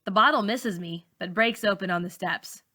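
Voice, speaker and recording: A woman teacher reading a novel aloud, with expressive, deliberate storybook narration. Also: mid-range voice.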